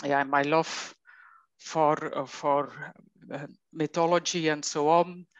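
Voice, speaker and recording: Middle-aged flemish belgian woman, coach being interviewed, real slow and careful, dry sound. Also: deep voice, steady tone, no room sound, dull audio. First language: Dutch. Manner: slow, thoughtful, with frequent fillers. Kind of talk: conversational interview.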